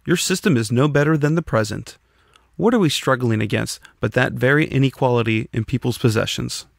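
A man's voice: medium pitch, fairly quick, vocal fry, no room sound, clean studio sound. A man voicing a sceptical, combative communist who puts probing questions in a scripted audiobook dialogue. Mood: indignant, challenging. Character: sceptical, challenging communist debater.

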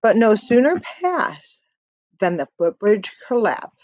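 Animated woman reading slowly aloud. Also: mid-range voice, no echo. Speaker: read-aloud storyteller. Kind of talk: expressive children's picture-book reading. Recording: dull lo-fi webcam audio.

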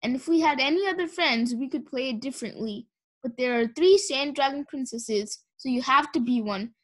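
Woman as a bossy, high-voiced young dragoness. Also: moderate pace, low-quality recording. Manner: commanding, impatient. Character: bossy, biggest and eldest young female dragon.

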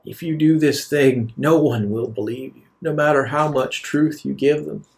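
A man voicing an aged, philosophical leader in a tabletop game, speaking solemnly and deliberately with pauses. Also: medium pitch, creaky, darkish tone, lightly acted. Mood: insistent. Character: aged male leader, measured, grave, philosophical, persuasive. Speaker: game master. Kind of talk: in-character tabletop roleplay.

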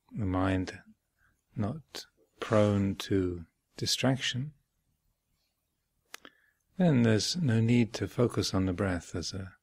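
Very slow, creaky-voiced man guiding meditation. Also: on the low side, dry recording. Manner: measured, with long pauses between short phrases. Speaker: meditation teacher. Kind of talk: guided meditation instruction. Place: retreat center, close dry recording.

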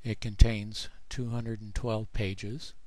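Very slow-talking man, low-pitched narration. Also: level tone, a little mellow, no echo. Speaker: author presenting his own book. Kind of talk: narrated screen tutorial.

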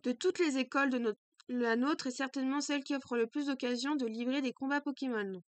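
A woman's voice: sits high, a little fast, no echo, natural voice. A woman voicing a prim, strict schoolgirl student with formal, precise diction.

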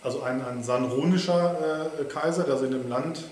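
Heavy room echo surrounds a man in his forties, heard some way from the mic with faint noise. He talks casually as if on a call, explaining haltingly with many restarts. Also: medium pitch, a little mellow, recorded from a distance. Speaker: publisher phoning in. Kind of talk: casual phone conversation. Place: indoor living room with bookshelves. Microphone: distant camera mic.

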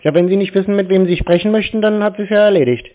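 A man on a phone call, correcting the other person in a pedantic, deadpan way. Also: high-pitched, quick pace. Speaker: prank call recipient. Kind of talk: phone conversation. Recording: narrow lo-fi phone audio.

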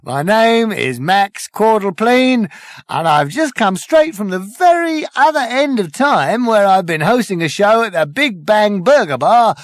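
A man's voice: high-pitched, dry recording, studio quality, pitched above the performer's own voice. A man voicing a brash cabaret compère who addresses his audience with theatrical patter. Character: showy middle-aged male cabaret compère.

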